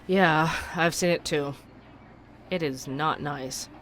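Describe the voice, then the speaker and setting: A man playing an empathetic, thoughtful investigative podcast host, speaking in an earnest, measured way. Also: a little high, a bit bright, slight background noise. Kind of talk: fiction podcast interview and narration.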